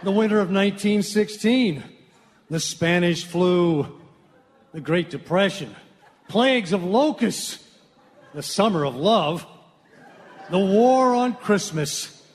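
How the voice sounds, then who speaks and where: An elderly, gruff small-town factory boss in a comic radio play. He speaks slowly and haltingly in a raised pitch, and the big hall rings around him. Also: expressive, slight background noise. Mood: grave, weary. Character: old small-town snowflake factory owner, gruff father and grandfather. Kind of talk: lighthearted radio theatre parody acting. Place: live theatre stage in a large hall. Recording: reverberant hall stage recording.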